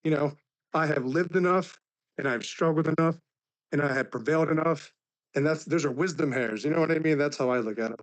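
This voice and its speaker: A man in his thirties speaks in a somewhat high voice that is raspy from laryngitis. He talks earnestly, sprinkling "like" through every sentence. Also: brisk, low-quality recording. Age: 30s. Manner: says "like" constantly, earnest. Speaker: speaker on a live stream. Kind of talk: casual heartfelt talk.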